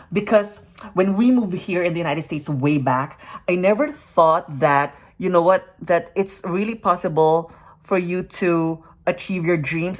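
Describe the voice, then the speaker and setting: A woman's voice: lowish voice, darkish tone. A woman from the Philippines giving a makeup tutorial, upbeat and hyper, tossing in peppy "you know what?" and "yes!" asides between encouraging thoughts. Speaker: makeup vlogger. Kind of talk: makeup tutorial with motivational talk.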